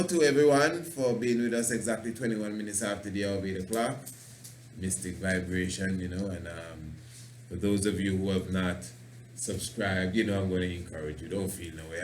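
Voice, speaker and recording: A passionate man hosts a radio talk show as a preacher, rambling and playing with words. His voice sits a little low and carries a roomy echo. A faint noise runs under his speech. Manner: passionate, rambling, fond of wordplay. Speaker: radio show host and priest.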